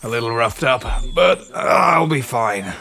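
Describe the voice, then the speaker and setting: Gravelly, wry soldier played by a man. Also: mid-range voice, animated, a little slow, pushed and bright, soft background music, full character voice. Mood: weary humour. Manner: casual, teasing. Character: wry, banged-up male soldier joking with squadmates. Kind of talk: audio drama acting.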